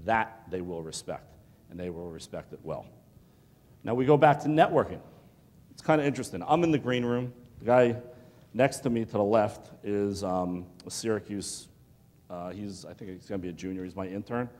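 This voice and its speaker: A middle-aged man giving a keynote speech to students, talking in an animated, pleading, conversational way, often checking in with "okay?". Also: fairly low pitch, moderate pace. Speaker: keynote speaker. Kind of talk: motivational lecture to students. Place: large hotel ballroom with audience. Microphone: lapel mic. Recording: long hall reverb.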